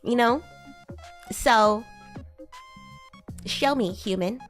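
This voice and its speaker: A woman's voice: a little high, very slow, faint music behind. A woman voicing a bratty, haughty young demon class president in a livestream roleplay, teasing and condescending as she improvises. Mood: haughty, challenging. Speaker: VTuber streamer playing a roleplay character.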